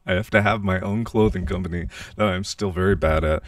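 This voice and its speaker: A man in his thirties chatting casually and quietly in a small bedroom, holding a handheld mic, rambling and self-deprecating. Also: deep voice, brisk, no room sound, recorded from a distance. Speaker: YouTuber. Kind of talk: casual vlog chat. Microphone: handheld podcast mic, held awkwardly.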